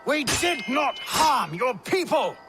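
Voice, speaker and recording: Game role: condescending older patriarch, unhurried, dramatically varied pitch, pompous lectures over prominent music. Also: male voice, very animated, heavily acted. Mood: impassioned. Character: haughty, condescending older Templar father. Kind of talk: video game cutscene acting. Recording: loud dramatic music behind.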